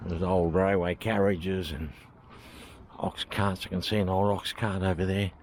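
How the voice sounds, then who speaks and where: A man's voice: deep voice, steady tone, a little slow, a little mellow, a little hiss or hum. An Australian man chatting casually to camera while walking around a historic village, thinking aloud as he goes. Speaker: travel vlogger. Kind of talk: casual walking tour commentary.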